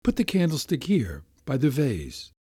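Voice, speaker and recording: A man's voice: mid-range voice, wildly swooping pitch, moderate pace, warm tone, husky, dry recording, clean studio sound, pitched above the performer's own voice. A man voicing an earnest, insistent young man who pleads effusively, in a raised voice. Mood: earnest pleading.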